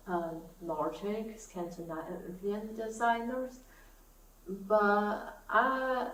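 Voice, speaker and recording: Slow, soft-spoken woman. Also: mid-range voice. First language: Portuguese. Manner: soft-spoken, thoughtful, laughs often. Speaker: knitting vlogger. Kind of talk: casual chat to camera. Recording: echoey room, mic at a distance, faint hiss.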